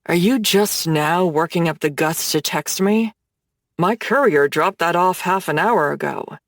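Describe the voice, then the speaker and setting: A woman voicing a dominant, smug man who issues commands in a low, slow, teasing way. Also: dry recording, studio quality. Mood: smug, commanding.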